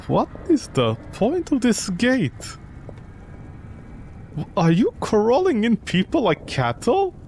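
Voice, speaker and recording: A man's voice: very high voice, huge pitch swings, takes it slowly, darkish tone, slight background noise. A man giving casual, sarcastic and incredulous commentary as he streams a video game.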